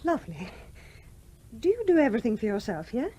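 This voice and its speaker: A proper, youngish English widow, modest and polite but quick to reprove. Her husky voice is lively in pitch, in the manner of a 1970s radio drama. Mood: polite, shy. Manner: polite, reserved, primly reproving. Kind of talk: 1970s radio play acting.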